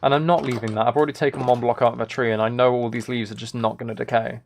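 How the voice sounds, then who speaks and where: A man with a creaky, fry-edged voice of middling pitch gives casual game commentary on a livestream. He talks to himself in a mildly exasperated mutter, with faint keyboard and mouse clicking behind him. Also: fairly even intonation, very fast. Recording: keyboard typing and clicking noise.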